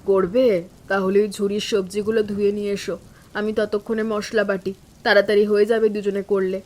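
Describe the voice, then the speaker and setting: A woman playing a patient, hardworking elder daughter-in-law in an animated village story, calm, resigned and reassuring. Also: mid-range voice, brisk, close to an everyday voice. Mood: practical. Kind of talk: animated family story acting.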